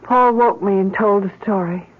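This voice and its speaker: A woman playing a bereaved wife and mother in an old radio melodrama, fragile, halting and pleading. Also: medium pitch, close to an everyday voice. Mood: dazed, subdued. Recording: muffled, noisy vintage radio recording.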